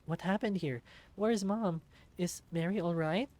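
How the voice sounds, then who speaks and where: A man's voice: high voice, normal speed, a little mellow, pitched above the performer's own voice, natural voice. A man voicing a frightened, earnest young boy fox in a visual novel, pleading and anxious. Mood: alarmed. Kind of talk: visual novel character voice acting.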